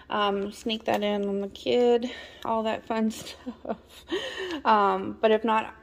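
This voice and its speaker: A woman's voice: medium pitch, creaky, a little hiss or hum. A woman chatting casually and enthusiastically as a vlogger in a home kitchen, recorded on a handheld phone. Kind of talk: casual grocery haul chat.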